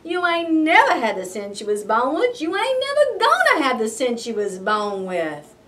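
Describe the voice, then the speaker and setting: A woman's voice: high voice, wildly swooping pitch, normal speed, a bit bright, distant mic, full character voice. A woman voicing an exasperated, scolding possum mama in a folksy, emphatic storybook style.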